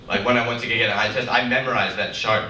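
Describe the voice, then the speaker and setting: A young man doing a stand-up comedy set, with a low voice and a deadpan, rambling, self-mocking delivery. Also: fast. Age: young adult. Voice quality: low, deep voice. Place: comedy club stage with a live audience. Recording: roomy, distant live club recording with loud crowd laughter.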